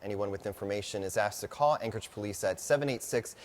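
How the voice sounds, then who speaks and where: A man's voice: on the low side, fast talker. An American man presenting news alerts and updates on television in a scripted broadcast delivery.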